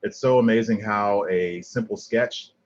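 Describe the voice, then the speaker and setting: A man's voice: lowish voice, measured pace, muffled recording. A middle-aged man from Liberia, giving an earnest design lecture over slides with many ums and restarts. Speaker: guest lecturer, landscape architect.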